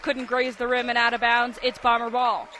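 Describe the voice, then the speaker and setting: A man's voice: extremely high pitch, little pitch movement, moderate pace, sharp tone. A man calling basketball live on the radio as play-by-play announcer, in clipped, vivid descriptive phrases. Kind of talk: live sports radio commentary. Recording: live broadcast with gym noise. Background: basketball game ambience, bouncing ball.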